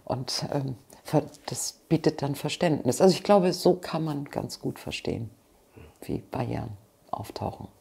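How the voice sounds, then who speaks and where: Low, gravelly woman. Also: lively intonation, a little slow, mellow. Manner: passionate, frank, with restarts and asides. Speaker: interview guest. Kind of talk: earnest interview answers. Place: living room with bookshelves, seated on sofa.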